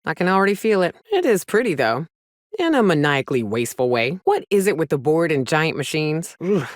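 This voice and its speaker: Wry low-voiced frontier hunter. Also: female voice, big pitch swings, a little fast, dry recording, clean studio sound. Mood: uneasy, wry. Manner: sardonic, folksy, plain-spoken. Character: gruff, wry frontier woman, monster hunter and loyal companion. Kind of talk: video game companion dialogue.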